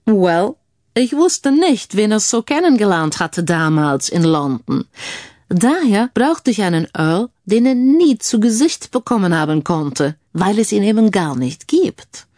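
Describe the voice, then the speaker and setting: Woman playing a suave, wry British gentleman, dry close sound. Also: medium pitch, big pitch swings, measured pace, no echo. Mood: playfully smug. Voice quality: sprinkles English phrases into speech.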